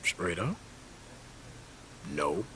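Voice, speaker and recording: An actor playing a shrewd, cryptic American basketball talent scout in a game cutscene, measured, blunt and knowing. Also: male voice, sits low, big pitch swings, sharp tone, slight background noise, heavily acted, rough recording. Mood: mentoring. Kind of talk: naturalistic video game cutscene acting.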